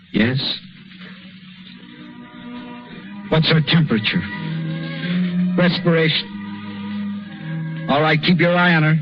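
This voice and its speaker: Intense young doctor, muffled radio. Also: male voice, on the high side. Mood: brisk, businesslike. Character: dedicated young male doctor, tormented, dutiful. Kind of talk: 1930s radio drama acting. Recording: muffled old radio recording.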